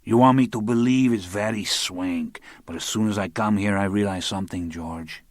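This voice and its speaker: A man voicing a sly, smooth-talking man who needles patiently in a soft, unhurried way. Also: on the low side, dry recording, studio quality, close to an everyday voice. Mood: smug.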